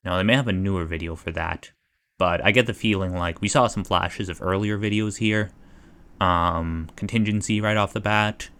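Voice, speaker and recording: Low-voiced man rambling through casual stream commentary. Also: a bit bright. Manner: hesitant, rambling, thinking aloud. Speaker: livestreamer.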